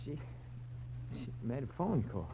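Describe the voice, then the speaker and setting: A man's voice: mid-range voice, big pitch swings, unhurried. A man playing a squirrelly, giggling barfly informant in an old radio crime drama, stammering and eager as he wheedles. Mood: sly, giggly. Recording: dull, noisy vintage radio recording with hum.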